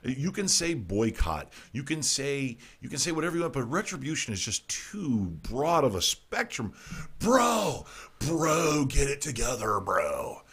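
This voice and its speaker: A man's voice: medium pitch, big pitch swings, unhurried, a bit bright, husky. A middle-aged man hosting a casual talk show, ranting irreverently about the news with frequent stumbles and restarts. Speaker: podcast host. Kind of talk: casual political comedy talk.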